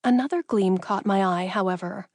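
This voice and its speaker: A woman's voice: medium pitch, normal speed, no room sound, clean studio sound. A woman reading a first-person fantasy audiobook as a scripted narrator.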